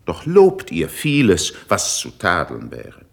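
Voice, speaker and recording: An actor playing a proud older statesman in a classical radio verse drama, with measured, emphatic declamation. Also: deep voice, wildly swooping pitch, vocal fry, full character voice. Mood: reproachful, indignant. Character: proud, worldly older male statesman, critical yet controlled.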